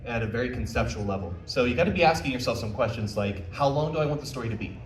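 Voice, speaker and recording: A man's voice: mid-range voice, animated, quick pace. A man giving an informal, jokey live talk as a panel presenter, taking questions in a conversational way. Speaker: convention panel presenter, visual novel developer. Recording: roomy, distant mic, faint background noise.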